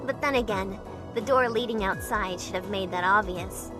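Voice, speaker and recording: A woman playing an anxious, curious young woman who narrates her own story in a measured, introspective way for a visual novel fan dub. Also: medium pitch, natural voice. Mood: musing. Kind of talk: first-person scripted narration. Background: music.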